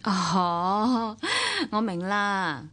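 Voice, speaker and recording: A woman's voice: medium pitch, very slow, fairly bright tone. A woman with a Hong Kong Cantonese accent acting a worldly, guarded divorced younger sister in a radio drama, nonchalant and wry, with wistful first-person narration. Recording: long reverb, music underneath. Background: music.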